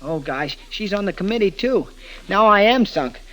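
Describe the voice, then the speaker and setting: An actor playing a hapless, easily flustered husband, hesitant and stammering, in 1930s radio comedy style on a vintage recording. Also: male voice, sits high, expressive, normal speed, pushed and bright, low-quality recording. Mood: dismayed.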